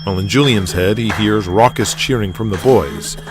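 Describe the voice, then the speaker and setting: Low-voiced man narrating an audio drama. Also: moderate pace, music in the background.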